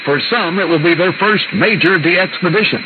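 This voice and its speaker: A man's voice: high voice, normal speed. A man reading a scripted link as a newsreader, heard over shortwave radio. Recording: hissy narrow-band shortwave radio reception. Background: shortwave hiss and static.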